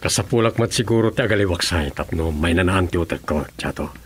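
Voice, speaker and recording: An actor with a Filipino accent playing a weary, authoritative governor in a radio drama. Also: male voice, low voice, a little fast, faint music behind, natural voice. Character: authoritative male governor, weary, advising his staff. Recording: faint background noise and ambience.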